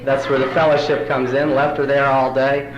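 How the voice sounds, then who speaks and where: A man from New York City whose first language is Spanish talks to a meeting with folksy, self-deprecating humor. His voice sits at an ordinary pitch. The recording carries the echo of a large room. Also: level tone, moderate pace, dull audio, crowd noise. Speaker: recovery meeting speaker. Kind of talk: storytelling talk at a recovery meeting. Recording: roomy hall recording.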